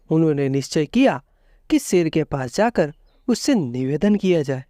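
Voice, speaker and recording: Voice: mid-range voice, warm tone, no echo, clean studio sound. A storyteller giving a scripted narration of a children's fable.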